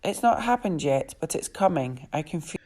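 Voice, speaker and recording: A woman reads scripted newspaper copy aloud. Her voice sits low, with a mellow, relaxed tone. She delivers the text as a reader for a recorded news service. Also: moderate pace. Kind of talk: scripted newspaper article reading.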